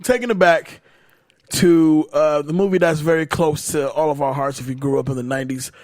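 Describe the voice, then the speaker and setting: A man's voice: on the high side, brisk, darkish tone, dry recording. Swearing, laid-back young man hosting. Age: late 20s. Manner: loose, swears freely, laughs often. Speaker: podcast host. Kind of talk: casual movie chat.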